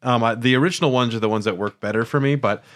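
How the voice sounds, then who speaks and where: A man with a medium-pitched voice chats as a podcast co-host. His delivery is casual and conversational, as in an informal discussion. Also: rapid-fire. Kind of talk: casual chat.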